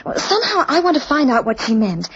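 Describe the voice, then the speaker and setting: An anxious, intuitive wife in a vintage radio play. Her high, expressive voice is earnest and melodramatic. The sound is muffled with age. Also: fast talker, a little hiss or hum, full character voice. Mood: puzzled, uneasy. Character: anxious, dreamy, intuitive young American wife. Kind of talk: old-time radio drama acting. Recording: muffled old radio drama recording.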